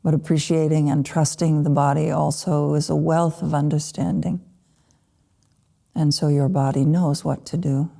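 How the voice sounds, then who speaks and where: A woman teaching a guided meditation, speaking in short, unhurried phrases with gentle pauses. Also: low-pitched, level tone, gravelly. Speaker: Buddhist meditation teacher (lama).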